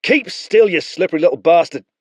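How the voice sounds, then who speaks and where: A man voicing a rough, cruel soldier who mocks a captured boy. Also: high-pitched, very animated, unhurried, no echo, pitched above the performer's own voice. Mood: mocking. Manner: snarling, mocking. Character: rough, cruel male spearman.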